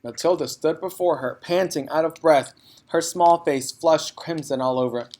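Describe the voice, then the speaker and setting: A man's voice: a little high, normal speed, darkish tone. A man narrating a children's story as a read-aloud. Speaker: storybook reader.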